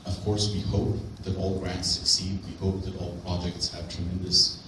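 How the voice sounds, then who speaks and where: A man presenting on grants at a conference in a hotel conference room, speaking in a clear, explanatory and emphatic way. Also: deep voice, level tone, normal speed, background noise. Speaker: grant program officer. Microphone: handheld mic, far camera. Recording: very echoey room from far off.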